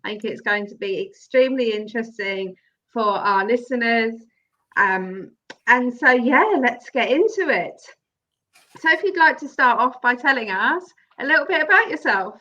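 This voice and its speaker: A woman's voice: a little high, animated, moderate pace, pushed and bright, dull audio. A woman hosting an interview show, chatty and encouraging, often laughing. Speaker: podcast host. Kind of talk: casual interview.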